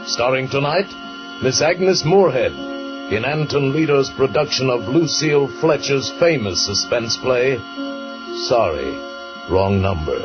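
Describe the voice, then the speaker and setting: A man announcing in a slow, weighty, dramatic style. The sound is a dull old radio recording with loud music under him. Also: mid-range voice, very animated.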